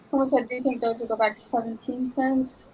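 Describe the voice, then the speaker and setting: A woman's voice: on the high side, little pitch movement, a little fast, a little mellow, a little hiss or hum, poor audio. A woman co-hosting a trading livestream, chatting casually and saying like a lot. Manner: casual, heavy use of "like". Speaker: livestream co-host.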